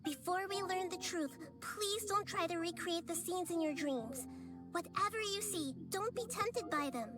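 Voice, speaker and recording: A woman voicing a small girl goddess in a game, speaking in a calm, thoughtful, earnest way. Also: very high voice, measured pace. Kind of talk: game voice acting. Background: game music.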